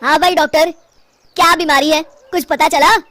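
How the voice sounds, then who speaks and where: A woman's voice: high voice, fairly even intonation, normal speed, fairly bright tone, dry recording. A woman voicing an imperious, demanding freeloader of a brother-in-law in a cartoon story.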